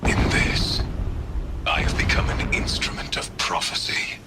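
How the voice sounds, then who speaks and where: Man acting slow, brooding zealot warrior. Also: mid-range voice, monotone, very noisy, lightly acted. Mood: bitter zeal. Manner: solemn, measured, intense, with dramatic pauses. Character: zealous, ruthless warrior fanatic, male, brooding and self-exalting. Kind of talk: dramatic monologue in a video game audio log. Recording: loud music bed behind the voice.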